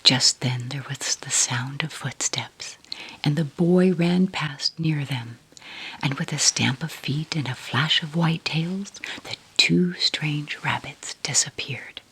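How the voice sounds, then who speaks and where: A woman softly whispering a bedtime story in an ASMR style, taking her time as she narrates. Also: sits low, husky, rough recording. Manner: soft, unhurried whispering.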